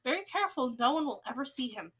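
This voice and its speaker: A woman's voice: sits high, lively intonation, fast, fairly bright tone, husky, full character voice. A woman voicing a clever, earnest small boy turned mouse in a storybook. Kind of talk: storybook character voice.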